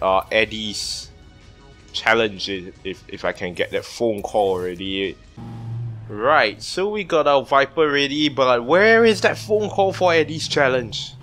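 Animated young man rambling over game music. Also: mid-range voice. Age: young adult. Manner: rambling, halting, repeats words, swears. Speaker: gaming video host. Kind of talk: casual let's play commentary.

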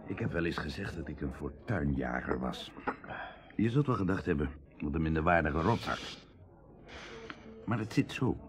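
An actor playing a proud, hard-up aristocratic bachelor in a radio drama, confiding and passionate, with emotional, dramatic delivery shifting from hushed to outbursts. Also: male voice, very deep voice, lively intonation, moderate pace, pauses often. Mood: earnest, confessing. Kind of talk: Dutch radio drama acting.

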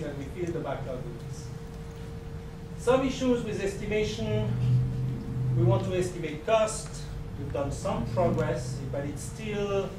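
A middle-aged man, not a native English speaker, giving an animated, joking conference lecture with slides to an audience. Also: a little high. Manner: animated, gesturing, joking asides. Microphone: distant camera mic. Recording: echoey room, distant, noisy.

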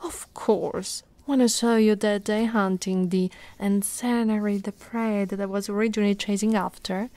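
A woman playing an affectionate, teasing lamia girlfriend in an ASMR roleplay, soft and cooing, often slipping into whispers. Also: mid-range voice, fairly even intonation, a little slow, few pauses, no echo, lightly acted. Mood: doting, teasing.

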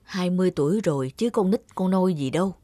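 A kindly elderly father in an animated family drama. He speaks firmly and with measured patience, a grandfather who holds his ground, with music behind him. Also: high voice, no room sound.

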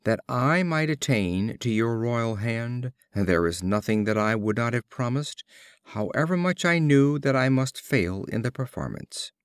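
A man voicing a boastful male kite, a smooth-talking suitor bird in a storybook fable. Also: mid-range voice, animated, a little slow, no room sound, clean studio sound, close to an everyday voice. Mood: unrepentant.

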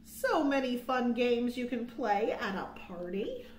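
An American woman in her thirties hosting storytime for young children, with sing-song, drawn-out phrasing, recorded indoors on a webcam mic. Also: fairly high pitch, very animated, slight background noise. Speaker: children's librarian, storytime host. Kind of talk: storytime read-aloud and counting rhymes for children. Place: small quiet room indoors. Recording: roomy webcam sound.

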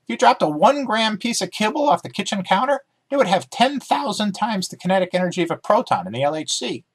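Storybook pet dog, female, voiced by a man: high, eager, argumentative, very animated. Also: fast talker, slightly rough, full character voice. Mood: insistent. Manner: excitable, argumentative.

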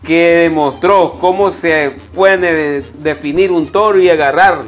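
Measured co-commentator. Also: male voice, a little high, faint music behind.

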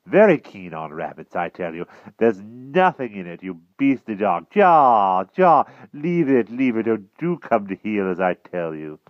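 A man voicing a flustered, excitable, old-fashioned gentleman king in a storybook, politely exclaiming with posh verbal tics. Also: fairly low pitch, measured pace, hardly any pauses, dry recording, dull audio, pitched above the performer's own voice. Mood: fussy scolding.

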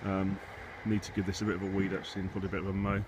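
A man with a fairly low voice talks casually through a garden tour. He is low-key and a little hesitant, thinking aloud. It is recorded outdoors on a handheld device, with clear noise behind him. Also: completely flat delivery, racing. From England. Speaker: allotment gardener and vlogger. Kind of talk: casual rambling video tour. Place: outdoors on an allotment and in a polytunnel. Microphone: handheld phone or camera behind the lens. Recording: noisy handheld outdoor recording, wind and background rumble.

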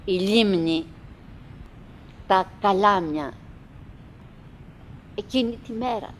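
An actress playing a wistful middle-aged woman who chatters bravely to her husband in halting, theatrical radio drama monologue. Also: mid-range voice, a little hiss or hum, natural voice. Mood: reflective, halting. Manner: halting, fragmented, dramatic, swinging between murmurs and calls.

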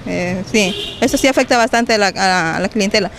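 A woman's voice: mid-range voice, brisk, fairly bright tone, slight background noise. A woman who sells juice at a stall, answering a street interview in an exasperated, complaining way.

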